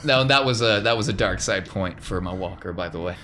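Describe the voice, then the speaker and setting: A man's voice: deep voice, fairly quick, bright, forceful tone. A man acting as game master, improvising descriptive narration for a tabletop role-playing game in a conversational way. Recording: background noise with room ambience.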